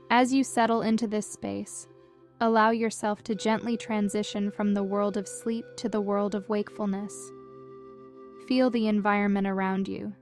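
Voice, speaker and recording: Calm woman guiding meditation over music. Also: mid-range voice. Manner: gentle, calm, measured instructions with soothing delivery. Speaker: meditation guide. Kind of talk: guided meditation narration, scripted.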